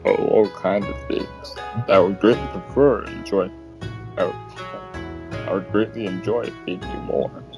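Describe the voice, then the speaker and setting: Man acting a low, gravelly seductive lover. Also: steady tone, a little slow, dull audio. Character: seductive, courteous, indulgent male lover. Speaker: guest voice actor. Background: plucked guitar music.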